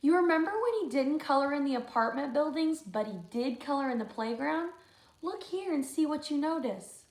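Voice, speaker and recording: A woman reading a picture book aloud to children like a teacher, adding expressive, chatty comments. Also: high-pitched, normal speed, echoey room. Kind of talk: storybook read-aloud with asides to children.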